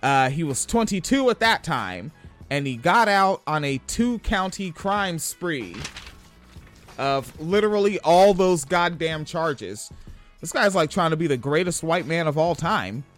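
A young man from Washington State with a high-pitched voice, hosting a podcast and reading news stories aloud with rambling, profane commentary and frequent laughs. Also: animated, measured pace, faint music behind. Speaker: podcast host.

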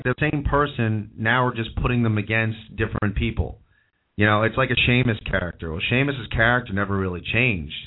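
Hoarse opinionated male talk host. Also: fairly low pitch. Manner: opinionated, rambling, repeats himself. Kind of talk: call-in wrestling talk show monologue. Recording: tinny phone-like audio.

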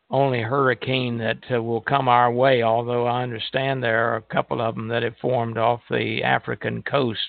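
Creaky-voiced man hosting over a phone-like line. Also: mid-range voice, no room sound. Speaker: radio show host. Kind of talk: casual live radio chat. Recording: tinny phone-like line, music behind.

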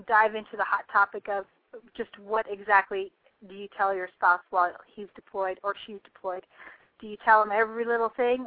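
A woman with a slightly raspy, under-the-weather voice, chatting casually as a radio host calling in. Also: mid-range voice, no echo. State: recovering voice, prone to coughing. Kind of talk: casual live chat. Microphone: calling in by phone. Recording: dull, dry call-in audio.

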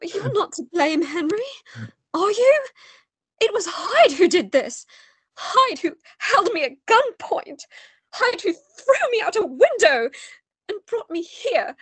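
A woman acts an innocent, distressed gentlewoman in a radio play. Her voice is extremely high and lively in pitch, earnest and emotional, in a dry recording. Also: slow, no room sound, exaggerated voice. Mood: distressed, anxious. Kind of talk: melodramatic radio play acting.

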